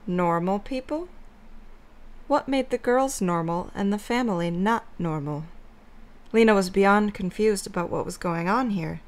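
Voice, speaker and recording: Mid-pitched woman narrating a story. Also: a little slow.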